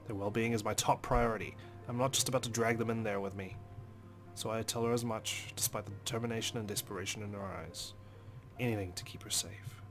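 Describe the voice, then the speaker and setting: A man's voice: on the low side, steady tone, a little fast, over music. A man hosting a let's play, reading a visual novel's story aloud as dramatic, brooding narration.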